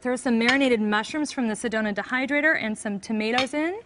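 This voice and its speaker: A woman in her thirties with a fairly high voice demonstrates recipes on a TV news studio set. She talks briskly and upbeat, explaining each step, picked up on a clip-on mic. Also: background noise. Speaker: guest chef. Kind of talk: cooking demonstration on TV.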